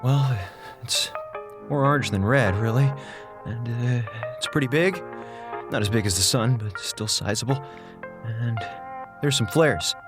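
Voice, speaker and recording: A man's voice: mid-range voice, over music, lightly acted. Man doing a sarcastic, defiant comms officer. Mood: grudging. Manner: sarcastic, theatrical, quick with jokes. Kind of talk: audio drama acting.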